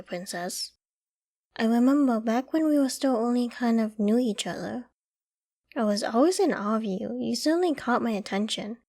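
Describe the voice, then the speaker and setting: An affectionate girlfriend acted out by a woman in an ASMR roleplay. Her voice sits on the high side. She is caring and coaxing, with gentle teasing and a playfully flustered edge. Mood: worried, coaxing, then fond, flustered.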